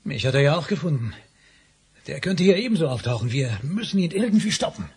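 An actor playing an uneasy small-time henchman in a 1980s radio play, hesitant and raspy. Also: on the high side, big pitch swings, brisk, darkish tone, exaggerated voice. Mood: nervous. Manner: hesitant, nervous.

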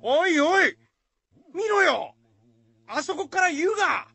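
An actor playing a bold village man in a radio drama, with rough, blunt, folksy speech. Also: very high voice, very animated, takes it slowly, bright, forceful tone, dry recording, exaggerated voice. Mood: astonished.

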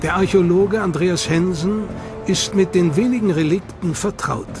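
A man with a notably high voice delivers scripted documentary narration, measured and solemn. Loud music runs under his voice throughout.

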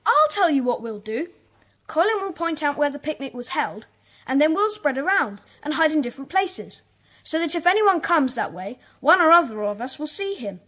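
High-pitched, commanding schoolboy gang leader for a children's audio drama. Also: female voice, big pitch swings, a bit bright. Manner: commanding, brisk instructions. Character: bossy schoolboy leader of a secret club.

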